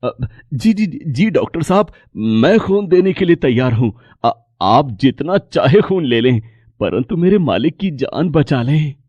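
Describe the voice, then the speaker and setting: A man voicing a poor, honest farm labourer, humble and caring, with an earnest, halting way of speaking in a moral story. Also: on the high side, sing-song, a little mellow, dry recording, lo-fi sound. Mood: earnest, pleading. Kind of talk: acted Hindi moral story dialogue.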